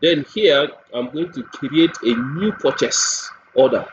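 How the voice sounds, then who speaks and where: A man presents a software demo step by step, deliberately, repeating phrases and posing questions to himself as he goes. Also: on the high side. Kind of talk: step-by-step screen tutorial narration. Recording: dull lo-fi mic with clear background noise.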